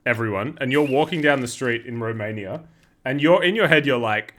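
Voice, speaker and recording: An upbeat man hosts a gaming channel and laughs easily as he chats. His voice sits at an ordinary pitch, with nothing unusual in how it sounds. He keeps the talk friendly and moving. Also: a little fast, fairly bright tone. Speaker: host. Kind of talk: gaming video narration and interview.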